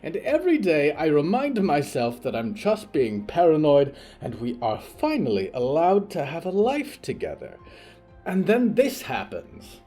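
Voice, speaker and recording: A man's voice: fairly high pitch, huge pitch swings, a little slow, warm tone, soft background music, pitched above the performer's own voice, exaggerated voice. A man playing an anxious, fussy male devil in an audio drama, with an emphatic, exasperated delivery.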